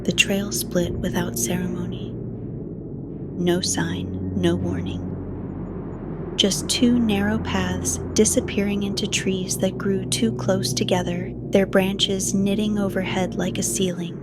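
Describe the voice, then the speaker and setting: Woman narrating a scary story, takes her time, loud music underneath. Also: mid-range voice, level tone, slow pace. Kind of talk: scripted horror story narration.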